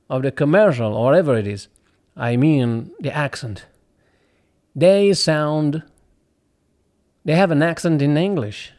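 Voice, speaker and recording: Slightly high-voiced man explaining. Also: darkish tone. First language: Arabic. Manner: earnest and explanatory, with frequent restarts and asides. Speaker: voiceover actor. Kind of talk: casual talk to camera about his craft.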